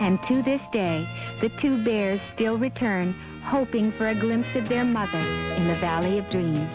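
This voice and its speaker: A woman's voice: mid-range voice, measured pace. A woman narrating a children's fairy tale from a script, as a storyteller. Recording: dull audio with music behind. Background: music.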